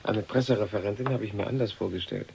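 A man's voice: lowish voice, fast talker, vocal fry, darkish tone. An actor playing a brooding, intense male physicist in a German radio drama from 1970. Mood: wry, casual. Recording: echoey hall, noisy old radio recording.

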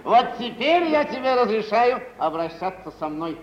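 An actor playing a tipsy, pompous, sponging gentleman in a mid-century radio comedy, with a haughty, grandiose theatrical delivery. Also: high voice, big pitch swings, normal speed. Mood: grand, wheedling. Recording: muffled, noisy, echoey old radio recording.

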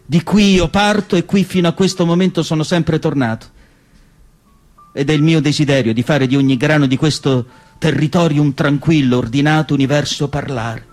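Actor as solemn, high-pitched male asylum doctor narrating. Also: fairly even intonation, darkish tone, soft background music, natural voice. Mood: grave, heartfelt. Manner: measured, solemn, literary delivery with deliberate pauses. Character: reflective asylum doctor narrating his patients, melancholy and devoted. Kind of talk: literary dramatic monologue for radio theatre.

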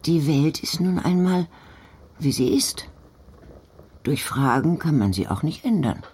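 An actress playing a stoic, matter-of-fact old grandmother with a husky voice and a plain, admonishing manner. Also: low-pitched, animated, a little slow, darkish tone, exaggerated voice. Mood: stern, resigned. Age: older. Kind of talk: literary audio drama.

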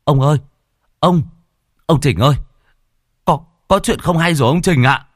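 A man's voice: medium pitch, very animated, a little fast, no room sound, studio quality, pitched above the performer's own voice. A man voicing a panicked young village man who is out of breath, stammering as he reports news.

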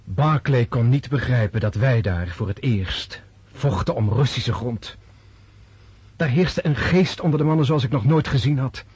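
An actor in a Dutch radio drama playing a disillusioned, resolute Russian officer prince, speaking in a deliberate, scornful way. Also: male voice, mid-range voice, a little mellow, breathy edge, no echo. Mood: bitter, lecturing.

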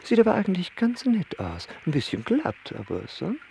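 A curious, whimsical gossip in a radio drama. The voice is high and crackly, rising and falling freely in conspiratorial chat. Faint noise sits behind it. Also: male voice, huge pitch swings, normal speed, vocal fry, a little mellow, full character voice. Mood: curious, amused. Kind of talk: German radio play dialogue. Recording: faint background noise and ambience.

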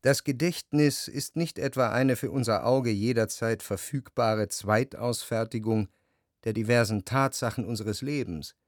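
An actor playing a jealous, brooding narrator who speaks in measured, reflective long sentences in a literary radio drama. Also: male voice, mid-range voice, no echo, studio quality, natural voice. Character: jealous, brooding male narrator, introspective and anxious.

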